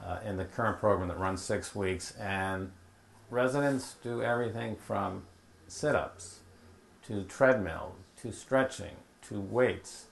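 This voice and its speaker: A man's voice: lowish voice, a little slow, gravelly. A man serving as a care home's executive director, explaining a fitness research program with frequent "uh" fillers. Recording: echoey room.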